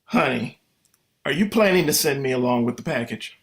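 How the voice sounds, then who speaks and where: A man's voice: mid-range voice, animated, normal speed, lightly acted. A man acts out a long-suffering fifties husband from a radio comedy. He is wry and patient, and keeps his delivery dry and understated. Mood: dryly put-upon. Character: Jim, patient, wry 1950s husband and father. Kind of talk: 1950s radio sitcom acting.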